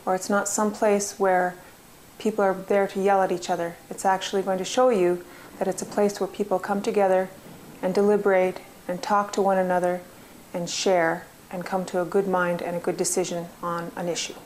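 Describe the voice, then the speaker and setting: A woman's voice: fairly low pitch, level tone, normal speed, a little mellow, slight background noise, low-quality recording. A woman giving a scripted introduction as the presenter of a community information video. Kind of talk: scripted narration.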